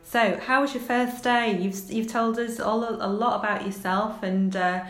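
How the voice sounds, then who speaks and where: A woman's voice: a little high, steady tone, normal speed, fairly bright tone, reverberant. A woman interviewing a new colleague, asking questions in a casual, hesitant way with "um"s. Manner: hesitant, with "um" fillers and restarts. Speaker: interviewer, recruitment team colleague.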